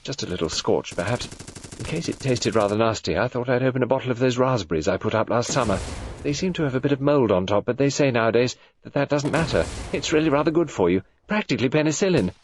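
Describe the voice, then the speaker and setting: A man's voice: mid-range voice, animated, fast, a little hiss or hum, voice pushed up, lightly acted. A man voicing a scatty, cheerful, blithely unbothered housewife in an audiobook.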